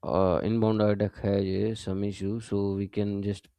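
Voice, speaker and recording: Man, software trainer, low-pitched voice, methodical step-by-step explanation, very dry close recording. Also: flat delivery, a little slow, no echo. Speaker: software instructor. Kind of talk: step-by-step tutorial narration.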